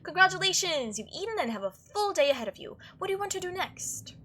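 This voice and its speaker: A woman with a high, very lively voice narrates a visual novel playthrough. She switches between reading the text aloud and chatty, reactive commentary in a bright tone. A faint noise sits behind her. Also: fast. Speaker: let's play host.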